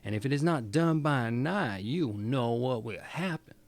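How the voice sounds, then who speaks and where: A man's voice: medium pitch, expressive, normal speed, no echo, natural voice. A man voicing a cruel, demanding wicked stepmother in a fairy tale, haughty and threatening. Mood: cold, demanding.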